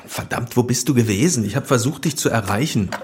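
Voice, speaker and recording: A man's voice: mid-range voice, lively intonation, a little fast, over music, lightly acted. A man playing a dry, wry police detective in a German audio drama, talking colloquially to a partner. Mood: wry, teasing.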